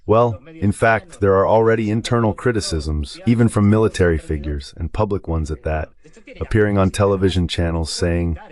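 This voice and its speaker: A man reading a translated voice-over of political and military commentary in a measured delivery. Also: low voice, a little mellow.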